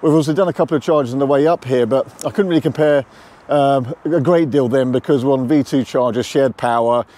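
A man's voice: medium pitch, a little fast, dark tone. A man, chatting casually and matter-of-factly to camera as a car vlogger, on a lapel mic. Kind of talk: casual vlog talk to camera. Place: mostly outdoors, car parks and roadside. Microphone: lavalier with furry windshield.

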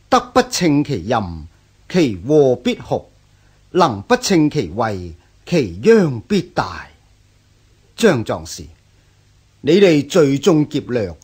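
Slow, husky scholar-official. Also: male voice, medium pitch, darkish tone, full character voice. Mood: persuasive. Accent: Hong Kong Cantonese. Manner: measured, sighing, earnest. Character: patient, principled male scholar-official, a prefect. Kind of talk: radio drama acting.

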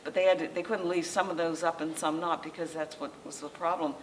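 An older woman giving an informal slideshow lecture in a library meeting room, talking in a conversational, halting way with frequent restarts. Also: sits low, fairly even intonation. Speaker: local historical society president giving a lecture. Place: library meeting room, indoors, small audience. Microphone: far camera, masked speaker. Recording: distant camera mic with faint room noise.

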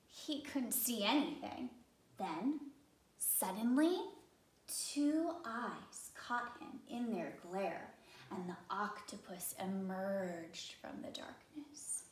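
Slow-paced woman reading to children. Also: mid-range voice, lively intonation. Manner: measured, with pauses for effect. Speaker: teacher reading aloud. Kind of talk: expressive children's story read-aloud. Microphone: camera a few feet away. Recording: roomy, somewhat distant microphone.

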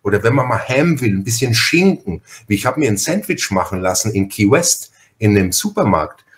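A man's voice: lowish voice, sing-song, fairly quick. A man chatting as a podcast host in an effusive, long-winded, personal way. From Franconia, Germany. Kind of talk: conversational interview chat.

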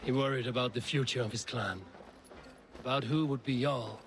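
A man's voice: mid-range voice, fairly even intonation, moderate pace, creaky, lightly acted. Earnest Viking warrior played by a man, noisy surroundings. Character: steady, earnest Viking warrior, loyal counsellor. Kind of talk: dramatic video game acting.

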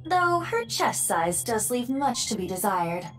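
A woman's voice: on the high side, sing-song, measured pace. A woman voicing a cheeky, teasing female AI sidekick in an anime-style video game, with a mocking, playful manner. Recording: music behind the voice.

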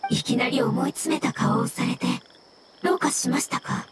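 An actress voicing a calm jellyfish-like water creature in an anime game, with a formal, courteous manner. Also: female voice, high voice, moderate pace. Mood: polite, attentive. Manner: formal, courteous, even-tempered. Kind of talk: Japanese anime game voice acting. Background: music.

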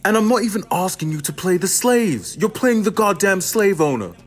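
Exasperated playwright, acted by a man, swinging pitch. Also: expressive, moderate pace, breathy edge. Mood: exasperated, ranting. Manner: profane, confessional, emphatic. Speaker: student actor. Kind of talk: theatrical radio play monologue.

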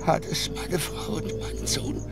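A man's voice: a little slow, over loud music, natural voice. An actor playing a frightened male novelist haunted by visions, stammering in an audio drama.

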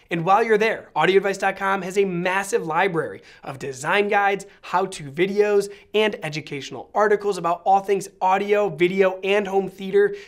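An American man in his thirties giving a scripted review to camera with polished, articulate presenter delivery. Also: high voice. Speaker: presenter of an audio product review. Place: small indoor studio set.

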